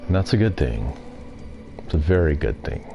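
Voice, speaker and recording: A young man streaming a game and chatting casually, with a stuffy nose and throat and a rambling, relaxed way of talking. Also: very deep voice, normal speed, lo-fi sound, over music. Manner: relaxed, rambling, self-deprecating. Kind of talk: casual gameplay commentary. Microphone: desk mic.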